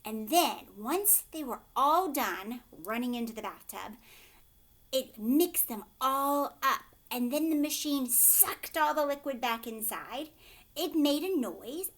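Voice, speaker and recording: Animated, high-voiced woman reading a storybook. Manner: emphatic, dramatic phrasing. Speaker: teacher reading aloud. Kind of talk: expressive storybook read-aloud for children.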